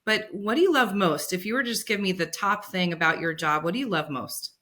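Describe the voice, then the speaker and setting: A woman's voice: mid-range voice, brisk, pushed and bright. A woman hosting an interview podcast, friendly and encouraging as she asks questions. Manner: friendly, encouraging, laughs easily. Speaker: podcast host. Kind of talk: conversational interview.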